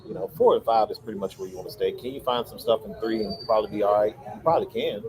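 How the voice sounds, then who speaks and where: A man co-hosting a casual livestream outdoors, relaxed, giving advice and answering viewer questions. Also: fairly low pitch, very fast, a crowd in the background. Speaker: livestream co-host.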